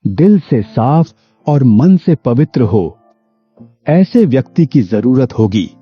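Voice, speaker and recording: Cartoon story hermit, male actor: wise, benevolent, rough-edged voice, medium pitch, music bed. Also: big pitch swings, slow pace, exaggerated voice. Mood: solemn. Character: wise holy hermit sadhu, solemn, kindly blessing. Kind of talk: animated Hindi moral story acting. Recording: dull lo-fi audio with music behind.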